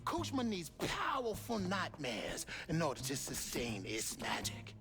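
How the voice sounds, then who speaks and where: Sly southern trickster boss of a spooky town, theatrical, pitch swoops all over, music behind. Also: male voice, sing-song, measured pace. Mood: sly, explaining. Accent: Southern American. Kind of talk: video game cutscene acting.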